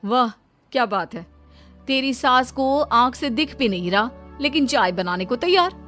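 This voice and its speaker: A woman's voice: sits high, expressive, measured pace, fairly bright tone. A woman voicing a callous, brash young married woman in a cartoon story, blunt and scornful. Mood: mocking. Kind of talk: Hindi cartoon story dubbing. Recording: music behind the voice.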